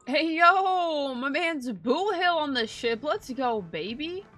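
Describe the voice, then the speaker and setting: A woman streamer with a bright, forceful voice comments casually on a game she is playing. She is excitable and slangy and swears freely. Faint music plays behind her. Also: sits high, slow pace.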